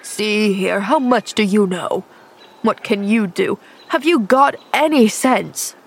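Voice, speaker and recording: A woman reading a storybook aloud, voicing a gruff, irritable injured man who snaps his words. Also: mid-range voice, slow pace. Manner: snappish, grim.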